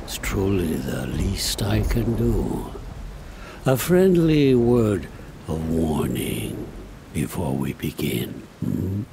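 An actor playing a weary, grave old retired superhero with a gravelly, deliberate, theatrical delivery. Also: male voice, low-pitched, big pitch swings, noisy background, exaggerated voice. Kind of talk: dramatic audio-drama acting. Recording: loud music and stormy rain behind.

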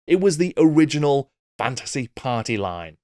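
A man's voice: mid-range voice, very animated, normal speed, darkish tone, slight background noise. A middle-aged man presenting a scripted documentary-style video essay, close on a desk microphone. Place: small book-lined home studio.

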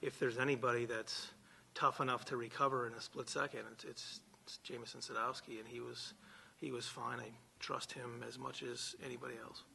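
Gravelly bright-toned coach guy at a press table, blunt, trips over his starts a bit. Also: medium pitch, flat delivery, a little fast, a little hiss or hum. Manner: blunt, repeats words when starting sentences. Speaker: college baseball coach. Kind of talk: postgame press conference answers. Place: press conference room. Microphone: table microphone.

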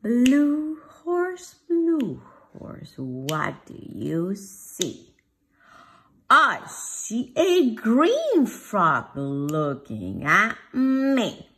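A woman preschool teacher reading a picture book aloud to young children in a sing-song, drawn-out way. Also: on the high side, wildly swooping pitch, fairly bright tone. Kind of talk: children's storybook read-aloud. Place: home dining room, indoors.

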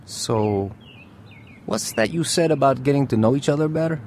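An actor playing a gentle, born-again young former boxer in a radio drama. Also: male voice, medium pitch, normal speed, lightly acted. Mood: warm, glad. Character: reformed young ex-boxer, gentle, born-again suitor narrating his life.

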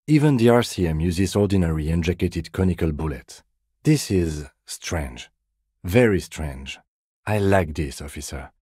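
Very deep, measured deadpan detective. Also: male voice, slightly rough, no room sound, studio quality, heavily acted. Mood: measured, serious. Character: composed, dry-witted, by-the-book male police detective. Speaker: police detective. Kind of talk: video game character dialogue.

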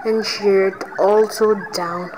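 A woman hosting her own gaming channel, giving let's play commentary and reacting loudly to each win and death. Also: mid-range voice, fairly even intonation, takes it slowly, noisy background.

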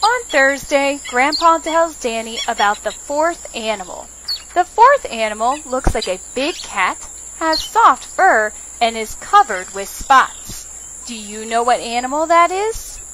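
A woman reads a preschool story in a high, very lively voice. She speaks to small children with simple questions and prompts. There is clear background noise. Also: measured pace. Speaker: children's librarian reading stories.

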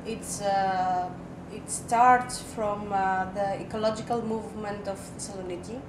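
A woman from Greece, an activist, explains her group in a halting way with frequent fillers. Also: mid-range voice, fairly even intonation. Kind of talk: interview answer. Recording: echoey room, mic far off, noisy.

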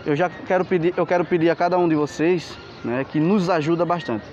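An enthusiastic man with a Pernambuco Portuguese accent leads a walking tour through an outdoor market, talking in a fairly high voice. He keeps pointing out goods and urging viewers to support the channel. The handheld camera audio is dull, with clear street noise behind him. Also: a little fast, a little mellow. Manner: enthusiastic, asks for likes, points things out. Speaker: travel vlogger.

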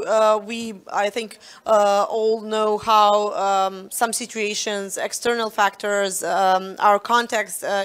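A young woman moderating a conference session, introducing the next speaker with frequent fillers. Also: medium pitch. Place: conference room with audience. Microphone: handheld microphone.

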